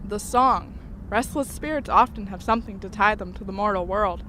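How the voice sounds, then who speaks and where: A woman's voice: medium pitch, normal speed, sharp tone, a little hiss or hum, lightly acted. A woman playing a stern, insistent young goth occultist in an audio drama, earnest and ominous.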